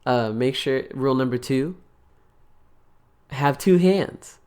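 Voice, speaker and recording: A man's voice: mid-range voice, takes it slowly, darkish tone, clear, resonant tone. A young man co-hosting a podcast, talking in casual, rambling banter with lots of restarts and laughs. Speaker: podcast co-host.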